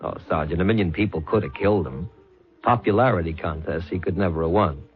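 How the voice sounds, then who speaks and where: A man's voice: sits low, a little fast, lightly acted. An actor playing a wisecracking nightclub owner in an old radio mystery, with slangy, hesitating tough-guy talk. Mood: jovial, evasive. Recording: dull vintage radio, faint music.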